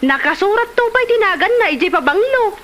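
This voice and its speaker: Woman playing breezy young daughter, very high pitch, lively swoops, chatty, protesting, comedy drama. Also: fairly quick. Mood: breezy, persuading. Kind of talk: Ilocano comedy drama acting. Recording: noise behind the voice.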